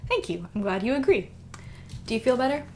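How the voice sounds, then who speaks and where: An actress playing a cocky but caring young vigilante in a naturalistic audio drama, with casual, teasing delivery. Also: female voice, fairly high pitch, big pitch swings, a little fast, mellow, a little hiss or hum. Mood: gentle, steady. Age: young adult. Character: cocky, caring young woman, college-age masked vigilante.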